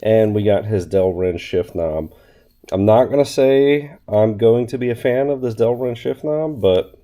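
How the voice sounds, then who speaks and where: A deep-voiced man talks through a car project in a chilly home garage. He is getting over a cold, so he sounds a bit nasal and sniffles now and then. His delivery is loose and chatty, full of fillers and blunt remarks. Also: a little slow, dark tone. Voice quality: slightly nasal. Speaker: car channel host. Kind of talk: casual garage vlog.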